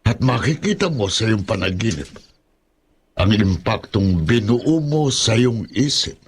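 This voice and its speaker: An actor playing an older Filipino village healer and sorcerer, sly and ominous, speaking with halting pauses in a horror audio drama. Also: male voice, on the low side, animated, slight background noise. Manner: halting, deliberate, with hesitant pauses.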